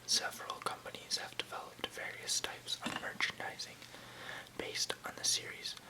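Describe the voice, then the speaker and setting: An ASMR host speaking entirely in a soft, unhurried whisper, reading aloud and chatting casually. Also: low-quality recording.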